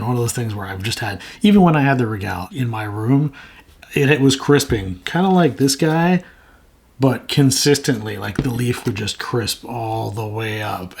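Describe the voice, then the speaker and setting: Hoarse, gravelly man chatting about houseplants. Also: on the low side. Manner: rambling, frank, self-mocking. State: hoarse from a sore throat. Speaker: plant channel host. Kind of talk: casual talk to camera.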